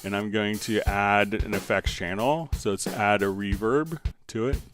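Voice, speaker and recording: A man hosting a live software tutorial, explaining step by step and informally thinking aloud as he demonstrates. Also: lowish voice, fairly even intonation, a little slow, faint music behind. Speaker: software instructor and livestream host.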